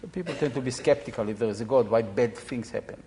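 A man in his seventies from Israel, explaining informally to an audience in a halting, reflective way. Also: mid-range voice, dark tone, a little hiss or hum. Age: 70s. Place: large echoey room or hall. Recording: reverberant hall sound.

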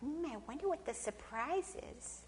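Woman doing a kindly, high-voiced, hesitant possum lady. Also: animated, normal speed, long reverb tail. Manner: hesitant, humming and hedging. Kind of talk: oral folktale character.

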